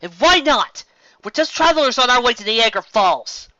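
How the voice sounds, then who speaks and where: Young mare leader voiced by a storybook reader: earnest, bright, pitched high, forceful. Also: animated, moderate pace, no echo, muffled recording. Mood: defiant. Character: earnest young female unicorn pony, bossy leader.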